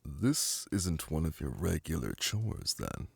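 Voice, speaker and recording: A man voicing a suave, flirtatious, lordly demon prince in an ASMR roleplay, purring teasing endearments in a languid, imperious manner with a gravelly, breathy voice. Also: rumbling low, sing-song, takes it slowly, no room sound, heavily acted. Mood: intrigued. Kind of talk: ASMR roleplay audio drama. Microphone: close, dry microphone.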